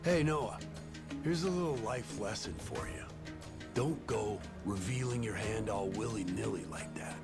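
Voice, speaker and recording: A man playing a gruff, suspicious middle-aged barkeep in a video game, with a sardonic, grudging manner. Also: lowish voice, unhurried, loud music behind the voice. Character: gruff, suspicious barkeep father, former treasure hunter. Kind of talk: English video game voice acting.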